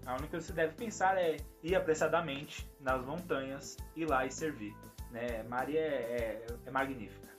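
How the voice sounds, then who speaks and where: A man's voice: mid-range voice, normal speed. A Brazilian man co-hosting a podcast, casually discussing faith and speaking directly to the listener in informal language. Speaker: podcast co-host. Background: music under the voice.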